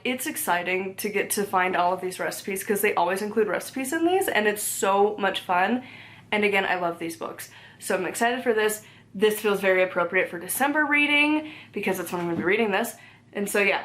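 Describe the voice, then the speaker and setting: A woman's voice: medium pitch, fairly bright tone. Chatty young woman rambling about books. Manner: rambling, chatty, many "like" fillers and self-corrections; easily laughs, talks to her cat between books. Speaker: book vlogger. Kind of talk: casual chat. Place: home, indoors.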